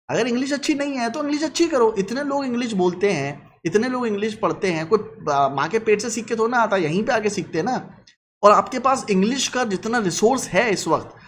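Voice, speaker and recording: Blunt high-voiced man. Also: a little hiss or hum. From Lucknow, India. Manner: blunt, lecturing, mixes English phrases into Hindi. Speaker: vlogger. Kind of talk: casual advice monologue.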